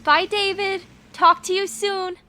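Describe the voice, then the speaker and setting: A woman's voice: extremely high pitch, flat delivery, unhurried, pushed and bright, exaggerated voice. An actress playing a caring young woman, frank and full of questions, in an audio play. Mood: hopeful. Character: frank, caring young woman, the troubled man's childhood friend.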